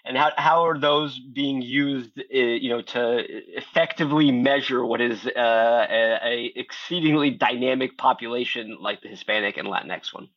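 A man's voice: mid-range voice, moderate pace, few pauses, highs cut off. A man from Miami hosting an interview, asking questions hesitantly with many fillers. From Miami, family from Ecuador. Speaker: podcast host.

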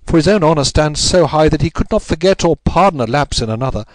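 A man's voice: fairly high pitch, expressive, dry recording, pitched above the performer's own voice, close to an everyday voice. A man voicing a distraught, pleading upper-class married Englishwoman in a story, breathless and melodramatic. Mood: desperate. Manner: breathless, melodramatic, halting repetitions.

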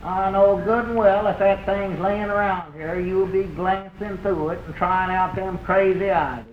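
Folksy old rural Southern shopkeeper, high-pitched, excitable, plainspoken; muffled 1940s radio sound. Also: male voice, measured pace, slight background noise. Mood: determined, grumbling. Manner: homespun dialect, fussy. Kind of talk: 1940s radio comedy acting. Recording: dull vintage 1940s radio recording.

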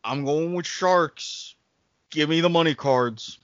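A man's voice: on the high side, takes it slowly, fairly bright tone, slight background noise. A man chatting casually as a panelist on a podcast. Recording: dull, lo-fi close sound.

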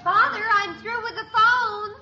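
An actress playing a chatty, exasperated teenage daughter in a 1950s radio sitcom. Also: female voice, very high voice, a little slow, crowd noise. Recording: dull old radio broadcast recording.

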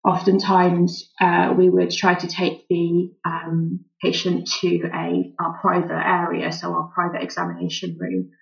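Creaky-voiced woman, low, slightly muffled. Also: monotone, a little slow, hardly any pauses. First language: English. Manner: thoughtful, many "um" fillers. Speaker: volunteer doctor, guest. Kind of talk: relaxed interview answers.

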